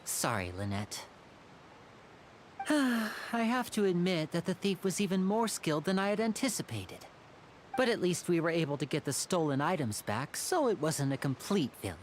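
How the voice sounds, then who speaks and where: A woman's voice: lowish voice, sing-song, moderate pace, a little hiss or hum. A woman voicing a charming, polite young male stage magician in an anime video game, speaking courteously and expressively. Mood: reassuring.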